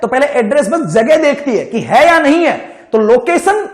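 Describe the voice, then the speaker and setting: High-pitched man teaching. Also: very animated, normal speed. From India. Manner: colloquial, repeatedly checks understanding with short questions. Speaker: polytechnic engineering teacher. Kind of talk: informal classroom-style lecture with everyday examples. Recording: echoey room.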